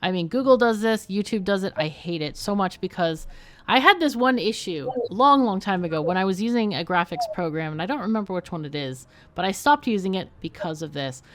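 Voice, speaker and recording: A woman's voice: mid-range voice, expressive, a little fast. A woman chatting casually and thinking aloud as she plays a game on a livestream. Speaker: streamer and game player. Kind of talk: casual livestream gameplay commentary.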